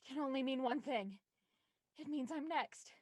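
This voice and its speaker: Woman as scared young female caller, radio thriller: high register, tense, hesitant, confiding. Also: moderate pace, no echo. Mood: frightened, confiding. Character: Felicity, frightened young woman confiding by voicemail. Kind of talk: audio thriller acting.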